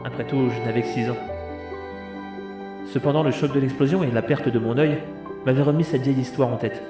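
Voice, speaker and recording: A man's voice: mid-range voice, steady tone, fairly quick, music in the background, close to an everyday voice. A man playing a determined, thoughtful young man in a scripted audio drama. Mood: reflective. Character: determined young man telling and living his story. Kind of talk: scripted audio drama, narration and muttering to himself.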